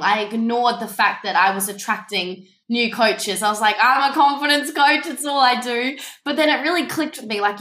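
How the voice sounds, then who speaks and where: A woman's voice: on the high side, a bit bright. A young woman who is a business coach, telling her story earnestly and reflectively as an interview guest. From Denmark. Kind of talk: casual interview. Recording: echoey room.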